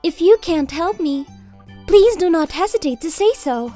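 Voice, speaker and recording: A kind, eager high school girl for a manga cartoon. Her voice is extremely high, and she speaks with humble, apologetic politeness. Light music runs underneath. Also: expressive, moderate pace, mellow. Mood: polite, earnest. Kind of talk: manga video character dialogue.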